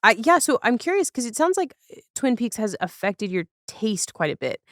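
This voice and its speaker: A woman's voice: fairly high pitch, big pitch swings, normal speed, a bit bright, no room sound, clean studio sound. A woman hosting a podcast, asking questions in a casual, conversational way. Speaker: podcast host, interviewer.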